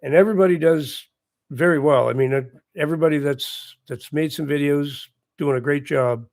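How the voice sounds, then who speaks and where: A man with a hoarse voice from a recent bout of laryngitis, hosting a casual livestream and chatting quietly and encouragingly with his viewers. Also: on the high side, normal speed, no echo, studio quality. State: hoarse, recovering lost voice. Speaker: livestream host. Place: at home with morning coffee.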